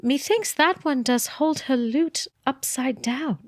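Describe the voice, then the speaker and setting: A woman's voice: high voice, takes it slowly. A woman playing a defiant, devoted young maiden of medieval England in an audio drama, earnest and melodramatic in archaic English.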